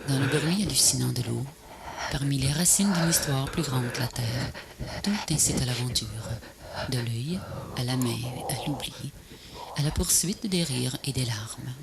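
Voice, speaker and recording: Creaky, breathy woman reciting poetry. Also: extremely low pitch. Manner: solemn, measured delivery in short phrases. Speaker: poet narrator of a video poem. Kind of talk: poetic recitation, scripted voice-over. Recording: noisy VHS transfer, ambient noise.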